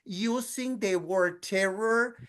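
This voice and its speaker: A man's voice: sits high, slow, fairly bright tone, clear, resonant tone. Colombian man presenting with a Spanish accent. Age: middle-aged. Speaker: guest speaker, clinical social worker. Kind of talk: webinar presentation.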